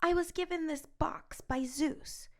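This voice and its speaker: A young woman playing a naive, easily tempted girl of Greek myth, hesitant and drawn-out in delivery. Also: sits high, darkish tone. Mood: wistful. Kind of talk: amateur audio drama acting.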